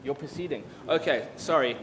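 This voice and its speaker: A man's voice: a little high, normal speed, fairly bright tone, a little hiss or hum, rough recording. Hesitant man moderating a conference panel. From South Africa. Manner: hesitant, full of fillers and restarts. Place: conference stage.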